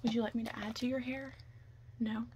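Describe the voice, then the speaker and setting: A young woman doing a soft, breathy ASMR roleplay as a makeup artist, often slipping into a whisper, chatty and reassuring in a halting way. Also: fairly high pitch, steady tone. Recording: clear background noise.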